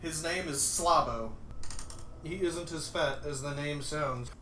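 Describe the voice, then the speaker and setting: A man's voice: on the high side, takes it slowly, pushed and bright. A man giving an amused comic dramatic reading of a screenplay as a livestreamer. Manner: amused, often breaking into laughter. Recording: roomy, distant mic, faint noise.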